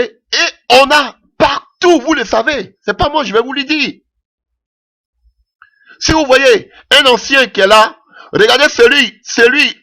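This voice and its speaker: A man's voice: very high voice, sharp tone. A man preaching a sermon in French that is not his mother tongue, impassioned and punctuating his points with "Alléluia".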